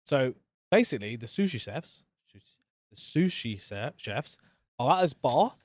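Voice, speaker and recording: Animated man, laughing podcast co-host. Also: measured pace, dry recording, studio quality. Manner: animated, laughs easily, voice drops low at phrase ends. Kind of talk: casual chat.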